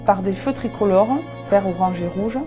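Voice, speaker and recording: Woman reading a measured voice-over. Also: mid-range voice, mellow, soft background music. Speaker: social centre staff narrator. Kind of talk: scripted documentary voice-over.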